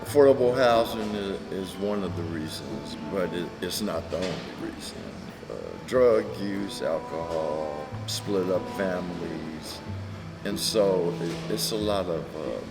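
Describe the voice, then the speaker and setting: Slow, low-voiced man, music behind. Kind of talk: unscripted interview remarks.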